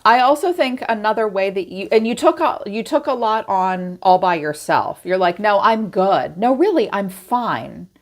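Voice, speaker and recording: A middle-aged woman giving a casual tarot reading straight to her viewers, chatty and animated, acting out their inner thoughts. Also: mid-range voice, moderate pace, few pauses. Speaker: tarot reader.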